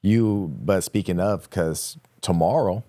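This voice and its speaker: A man's voice: low-pitched, takes it slowly, warm tone. A man in his thirties hosting a podcast, giving encouraging slangy replies in casual chat. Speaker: podcast host. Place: small studio set with wooden tables. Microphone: boom-mounted studio mic.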